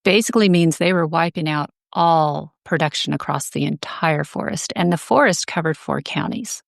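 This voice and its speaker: A woman explaining things as an interview guest, in a measured, detailed, conversational way. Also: low voice, vocal fry, no room sound, clean studio sound. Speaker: guest, advocacy group director.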